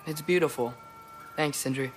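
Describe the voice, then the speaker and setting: A man's voice: fairly high pitch, normal speed, faint music behind, lightly acted. An actor playing an earnest, curious teenage boy adventurer in a video game, with an eager, casual way of talking. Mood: friendly. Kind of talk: naturalistic video game acting.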